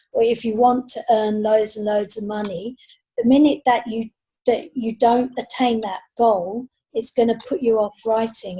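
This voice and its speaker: A woman's voice: on the high side, dark tone, slightly rough, low-quality recording. A woman talking casually as a writer on a panel, giving earnest, encouraging advice.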